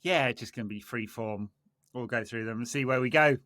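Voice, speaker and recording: A man's voice: mid-range voice, fairly quick, fairly bright tone, no room sound. A man hosting a casual football podcast, chatting with dry, sardonic banter. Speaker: podcast host.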